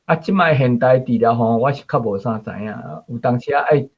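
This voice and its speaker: A man's voice: medium pitch, a little fast, breathy edge. A man with a Taiwanese accent giving an informal explanation as a guest commentator. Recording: muffled, dull audio.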